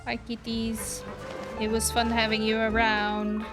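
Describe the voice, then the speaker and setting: A woman's voice: on the high side, completely flat delivery, a little slow, rough recording. A young woman livestreaming a game, reacting and chatting casually as she plays. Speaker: streamer playing a game. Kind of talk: casual live gameplay reaction chat. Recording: game music behind the voice. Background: game music.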